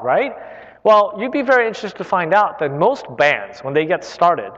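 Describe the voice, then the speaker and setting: A man in his thirties, from Korea and not a native English speaker, answering audience questions in a casual, chatty way full of "right?" and "uh". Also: fairly high pitch. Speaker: internet company chief executive. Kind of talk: live Q&A talk. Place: large public library hall. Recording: dull hall recording with long reverb.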